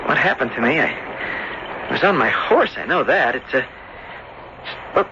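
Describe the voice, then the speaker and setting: An actor playing an earnest, adventurous young sailor in an old-time radio drama, speaking haltingly and politely. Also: male voice, mid-range voice, big pitch swings. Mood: polite, puzzled. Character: earnest, adventurous young seafaring farmer's son. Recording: dull, noisy old radio recording.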